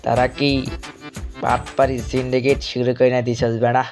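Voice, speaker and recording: A man's voice: mid-range voice, completely flat delivery, moderate pace, lightly acted. A man playing an accusing, argumentative village uncle in a cartoon, with a Bangladeshi accent. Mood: indignant, accusing. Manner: scolding, argumentative. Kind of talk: amateur cartoon dialogue. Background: music.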